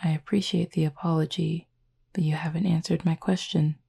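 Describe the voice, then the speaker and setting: A woman playing a gentle, composed horned cupid roommate in an intimate roleplay audio, soft-spoken, measured and formally worded, tenderly teasing and reassuring. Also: deep voice, fairly even intonation, heavily acted. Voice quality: soft, breathy, husky.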